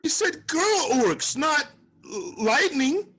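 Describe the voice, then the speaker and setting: A distressed orc underling, voiced by a woman, high-pitched and whiny. The speech is slow with lively swoops, suited to a comic fantasy. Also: exaggerated voice. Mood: wailing. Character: whining, distressed orc grunt. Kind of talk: fantasy comedy table read.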